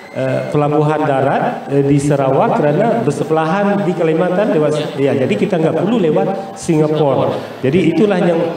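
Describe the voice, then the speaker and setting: A man from Malaysia with a Malaysian accent gives explanatory interview answers as a business guest, pausing and searching for words. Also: fairly high pitch, dark tone. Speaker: business guest, investor and trade promoter. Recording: echoey, distant mic with faint noise.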